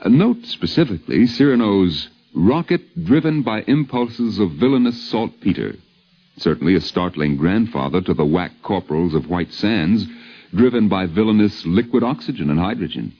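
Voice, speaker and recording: Deep-voiced man narrating a vintage radio documentary. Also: lively intonation, normal speed. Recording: dull 1950s radio recording with music.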